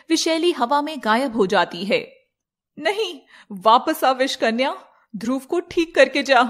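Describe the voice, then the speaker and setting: A woman's voice: high-pitched, fairly bright tone. A woman narrating a fairy tale in a scripted, dramatic storytelling style.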